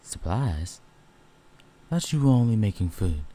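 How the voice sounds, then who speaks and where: A man acts out a male werewolf in an audio roleplay, casual and blunt. His voice is deep, husky and very mellow. He teases and competes, yet stays a loyal partner. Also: sing-song, measured pace. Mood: competitive.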